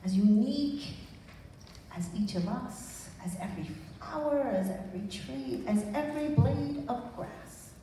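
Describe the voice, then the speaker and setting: A middle-aged woman minister leading a spiritual invocation in short, deliberate, emphatic phrases. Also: a little high, animated, dark tone. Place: small church sanctuary. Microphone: handheld microphone, filmed from audience. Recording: echoey hall, distant mic, faint noise.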